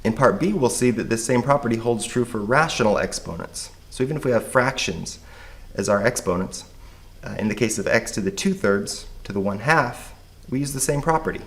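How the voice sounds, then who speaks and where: A man with a hoarse voice, patiently explaining a math lesson step by step. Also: medium pitch, expressive, a little fast, fairly bright tone. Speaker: math teacher.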